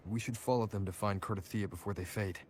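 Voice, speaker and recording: An actor playing a calm, serious young male adventurer in a video game, restrained and to the point. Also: lowish voice, quick pace, slight background noise, natural voice.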